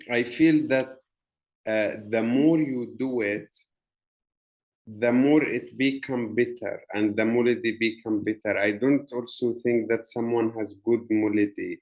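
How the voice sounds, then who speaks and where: A man's voice: mid-range voice, a little mellow. A man with an Arabic accent, speaking English as a second language, hosting a podcast interview in a reflective, musing way. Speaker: podcast host.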